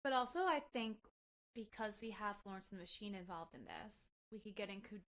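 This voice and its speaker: A woman's voice: medium pitch, expressive, brisk, a bit bright. A young woman from Connecticut co-hosting a casual podcast, chatty and enthusiastic as she shares music news. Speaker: podcast co-host. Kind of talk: casual chat.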